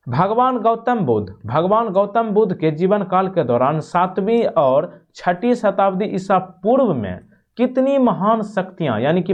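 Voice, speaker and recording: Higher-pitched male quiz teacher. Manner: instructive, repeats key points, frequent check-in tags. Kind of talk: exam-prep quiz lesson, reading questions and giving answers. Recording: dull, muffled lo-fi microphone.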